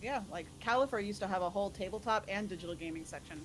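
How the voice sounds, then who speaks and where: A woman's voice: medium pitch, quick pace. Easygoing woman co-streaming a game. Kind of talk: casual live-stream chat while gaming.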